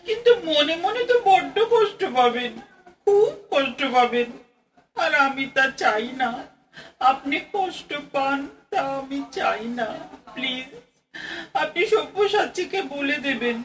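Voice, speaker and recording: A woman playing an earnest, anxious young bride-to-be in a radio play, pleading haltingly and tearfully. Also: high-pitched, very animated. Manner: halting, pleading, repeats phrases. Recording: distant mic, faint noise.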